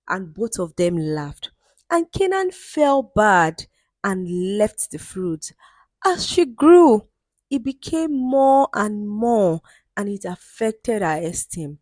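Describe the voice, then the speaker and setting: A woman narrating a folktale in an expressive, dramatic storytelling manner. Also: mid-range voice, takes it slowly, no echo.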